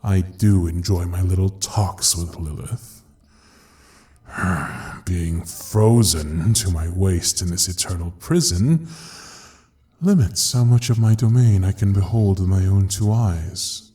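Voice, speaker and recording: A low, dark-toned devil speaks at an unhurried pace. He is an ancient, cruel ruler of Hell in a fantasy audio drama, theatrical and condescending as he savors every word. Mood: savoring, taunting. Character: imprisoned lord of Hell, male, ancient, clawed, mocking and cruel. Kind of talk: fantasy audio roleplay acting.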